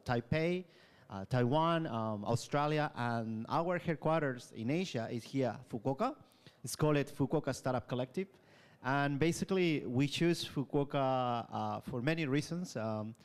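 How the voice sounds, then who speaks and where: A man from Chile speaking on a live panel at a hotel event stage, halting with many "um" and "uh". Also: mid-range voice, clear, resonant tone. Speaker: panel speaker, tech incubator founder.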